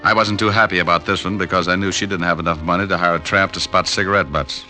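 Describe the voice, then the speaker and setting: Deep male voice, hard forceful edge, flippant hard-boiled private eye, vintage radio drama. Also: fairly even intonation, fast, soft background music. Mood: wry, weary. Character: wisecracking, cynical male private detective. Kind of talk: old-time radio noir acting and narration.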